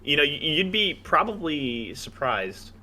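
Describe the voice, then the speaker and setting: A man's voice: on the high side, very animated, measured pace, pushed and bright, poor audio. A man hosting a casual podcast discussion, steering the topics in an emphatic, playful way. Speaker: podcast host.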